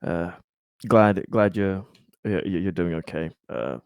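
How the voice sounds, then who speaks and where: A man's voice: low-pitched, gravelly, clean tone, no echo, clean studio sound. A man playing a stressed, paranoid corporate director in a tabletop roleplay, stammering and swearing. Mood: cautiously hopeful. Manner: halting, stammering, sweary, weary sighs. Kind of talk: improvised tabletop roleplay dialogue.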